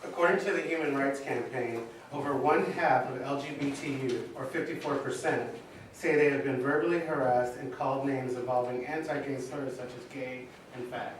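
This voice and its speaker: A man's voice: mid-range voice, fairly even intonation, moderate pace, lightly acted. A man playing a formal narrator in a staged reading, citing facts from far off in an echoey room. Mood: matter-of-fact. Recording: very echoey hall, distant mic, faint noise.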